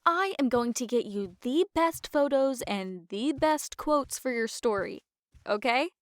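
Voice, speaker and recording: A woman's voice: high voice, animated, unhurried, dry recording, clean studio sound. An actress playing a wry, sarcastic but caring young woman in an audio drama, with a casual delivery. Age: young adult.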